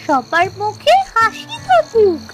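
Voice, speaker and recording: A woman's voice: very high-pitched, sing-song, takes it slowly, exaggerated voice. A woman voicing a kind, hopeful little village girl with an East Bengali accent in a children's cartoon. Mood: earnest, selfless. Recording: music and insect chirps behind. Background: music, crickets.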